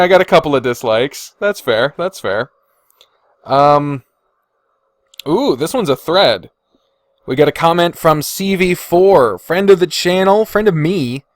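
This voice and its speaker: A man's voice: fairly high pitch, no echo. Casual man podcasting in a dry, close space. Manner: informal, freely swearing. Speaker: podcast host. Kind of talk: casual chat, reading and reacting to viewer comments.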